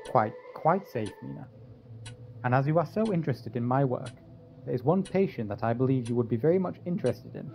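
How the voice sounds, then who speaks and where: An actor playing a courteous, composed asylum doctor in a radio drama, speaking in a genteel, reassuring manner. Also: male voice, mid-range voice, expressive, fairly quick, creaky, a little mellow, faint music behind.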